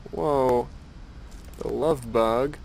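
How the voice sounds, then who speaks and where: A man's voice: mid-range voice, drawn-out words, gravelly, a little mellow, a little hiss or hum. A man giving casual, rambling gameplay commentary, thinking aloud with playful self-mockery. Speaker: gamer narrating his own playthrough.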